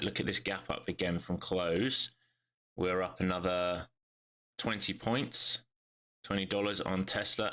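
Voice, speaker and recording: A man with a low voice talks through the charts in a brisk stream of live commentary, thinking aloud as he goes. His tone is bright and forceful, but a head cold leaves him nasal and bunged up. He speaks close to a desk microphone. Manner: brisk, thinking aloud, frequent "all right?" tags. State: congested with a cold. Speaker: day trader streaming live market analysis.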